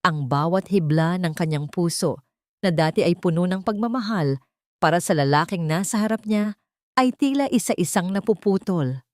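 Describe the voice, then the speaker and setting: A woman's voice: on the low side, lively intonation, moderate pace, a little mellow, dry recording, clean studio sound. A woman reading a scripted love story as a narrator, with a solemn, dramatic delivery.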